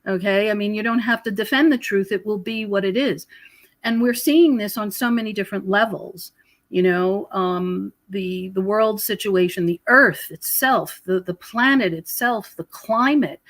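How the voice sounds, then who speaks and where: A mature woman with a mid-pitched voice talks as a guest in a conversational interview. She explains at length, pausing and circling back over her phrases. The sound is dry, with very little room in it. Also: dry recording. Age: middle-aged or older. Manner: rambling, explanatory, with frequent pauses and repeated phrases. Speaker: podcast guest, researcher and author. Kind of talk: conversational spiritual commentary in an interview.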